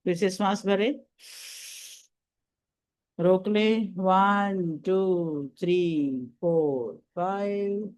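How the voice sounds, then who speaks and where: A man leading a guided meditation as a teacher, giving soothing, deliberate instructions. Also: high voice, steady tone, darkish tone, smooth, highs cut off. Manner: soothing, deliberate, counting out breaths and steps.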